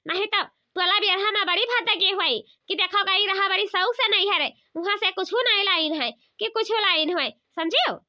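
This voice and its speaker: An extremely high, piercingly bright cartoon village woman, nosy and sly. She questions and exclaims like a gossip in an Awadhi accent, on dull lo-fi audio. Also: normal speed, hardly any pauses, exaggerated voice. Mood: nosy, insistent. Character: nosy, sly, squeaky village women neighbours. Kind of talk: comedy cartoon dialogue.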